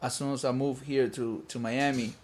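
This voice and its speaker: Guy from peru, lively slangy chat, mid-pitched everyday voice. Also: moderate pace. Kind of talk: casual podcast chat.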